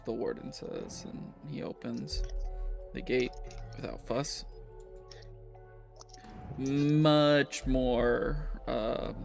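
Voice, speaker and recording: Guy game mastering, gravelly fry in his voice, normal pitch, bit of music behind. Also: steady tone, drawn-out words, pauses often. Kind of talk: casual tabletop game narration.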